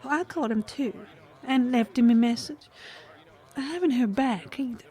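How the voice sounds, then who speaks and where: A woman's voice: high-pitched, normal speed, warm tone, close to an everyday voice. A woman playing a melodramatic country mother in a comic audio drama, gushing and genteel. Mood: concerned. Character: fluttery, melodramatic country farm wife and mother.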